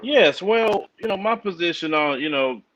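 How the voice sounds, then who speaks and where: A man's voice: sits high, expressive, moderate pace, fairly bright tone. A man calling into a livestream, polite and hesitant, restarting his sentences. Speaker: caller, fellow channel host. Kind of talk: call-in conversation. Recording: dull lo-fi call audio.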